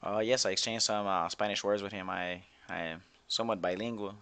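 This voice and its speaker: A man's voice: fairly low pitch, fairly even intonation, fairly quick, fairly bright tone, clean tone. A man roleplaying a defendant, telling his side of the story in court in a rambling way with lots of fillers. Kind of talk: casual roleplay testimony.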